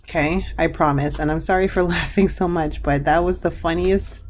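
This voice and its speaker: A woman reads tarot cards aloud in a loose, rambling manner, circling back and restarting her sentences. Her voice sits a little low and has a slight hoarseness, and a faint ambient hum runs underneath. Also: steady tone, normal speed. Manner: chatty, meandering, many fillers and restarts. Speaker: tarot card reader. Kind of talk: pick-a-card tarot reading.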